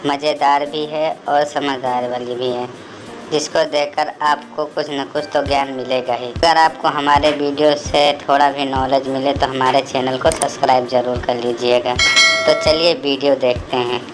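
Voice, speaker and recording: Very low-pitched woman hosting. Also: steady tone, moderate pace, music in the background. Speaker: channel host. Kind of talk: scripted channel introduction.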